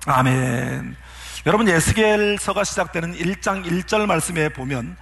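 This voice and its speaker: A man's voice: high-pitched, fairly bright tone. A man who is a pastor, preaching a sermon in an earnest way and putting rhetorical questions to his congregation.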